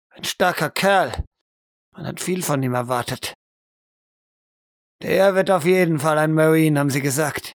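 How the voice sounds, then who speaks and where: A man's voice: fairly high pitch, dry recording, clean studio sound. A man narrating an audio role-play as game master, dramatically and intensely, in short repeated phrases. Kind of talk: dramatic second-person narration.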